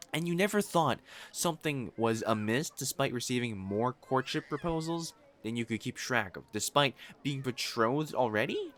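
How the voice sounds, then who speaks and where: A man's voice: mid-range voice, animated, measured pace, a bit bright. A man playing a proud, possessive noble fiancé in a romantic audio roleplay, haughty and formal in speech and easily flustered. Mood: exasperated, jealous.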